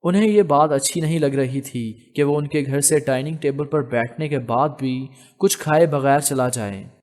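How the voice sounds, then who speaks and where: A man's voice: mid-range voice, normal speed, darkish tone, a little hiss or hum. A man narrating a romantic novel as a scripted, dramatic audiobook reading. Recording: long hall reverb on voice.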